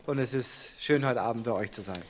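A man's voice: medium pitch, fairly quick. A man interpreting a sermon live, turning each short phrase into a fuller sentence right after the speaker.

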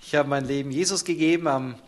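A man's voice: mid-range voice, fast. A man interpreting a sermon live, turning each short phrase into a fuller sentence right after the speaker.

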